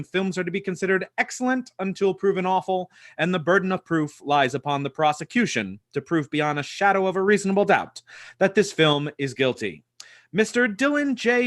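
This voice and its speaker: A man's voice: sits high, animated, brisk, fairly bright tone, dry recording, clean studio sound, voice pushed up. A man playing a pompous courtroom judge. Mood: stately. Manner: formal, declaiming. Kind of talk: mock trial on a podcast. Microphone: video call.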